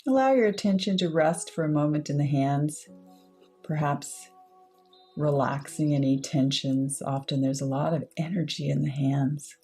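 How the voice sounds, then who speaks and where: Low-pitched woman guiding a slow meditation. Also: mellow, breathy edge, soft background music. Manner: unhurried, measured short phrases with pauses. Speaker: meditation guide. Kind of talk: guided meditation, slow soothing instruction.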